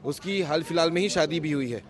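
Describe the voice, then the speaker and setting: A man's voice: a little high, fast talker. A man from works as a field reporter, putting questions in an on-the-spot interview. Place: outdoors near a police station.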